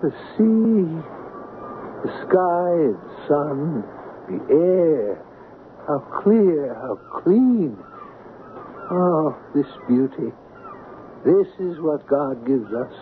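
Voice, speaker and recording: An actor playing a gentle old reclusive man with a theatrical, reverent, formal manner in a radio drama. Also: a little high, very animated, very slow, pauses often, full character voice. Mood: awed. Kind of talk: American radio drama acting. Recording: tinny, noisy old radio broadcast.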